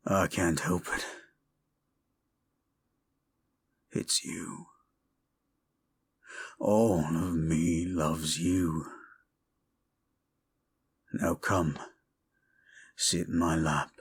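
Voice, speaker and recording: Man voicing a slow, deep, breathy goliath bodyguard. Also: slight background noise. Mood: smitten, intimate. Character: tender, devoted hulking goliath male bodyguard. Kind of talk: intimate romantic roleplay audio.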